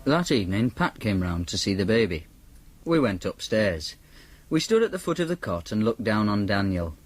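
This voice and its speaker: A man's voice: fairly low pitch, very animated, moderate pace, dry recording. A dry Northern young man. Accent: Northern English. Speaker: narrator and main character. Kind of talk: first-person scripted narration.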